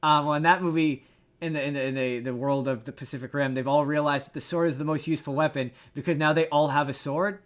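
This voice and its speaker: A man chatting casually as a podcast co-host, explaining things in an informal, slangy way with halting repeats. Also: a little high, steady tone. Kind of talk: casual movie review chat.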